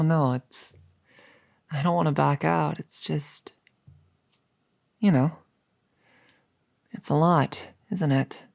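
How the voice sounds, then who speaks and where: A woman's voice: deep voice, creaky, a little mellow, no echo, studio quality, full character voice. A woman playing a nervous but resolute girlfriend in an intimate ASMR audio roleplay, speaking in a hesitant, rambling, earnest way. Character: nervous, resolute woman about to become a vampire.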